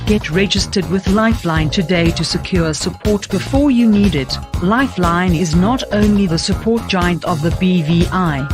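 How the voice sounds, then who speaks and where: A woman's voice: on the low side, moderate pace, hardly any pauses. A woman reading a scripted ad voiceover in an urgent, warning way. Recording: voiceover over loud music.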